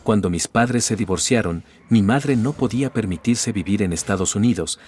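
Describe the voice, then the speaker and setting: Male narrator, lowish voice, calm scripted story reading. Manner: even, steady reading of first-person stories.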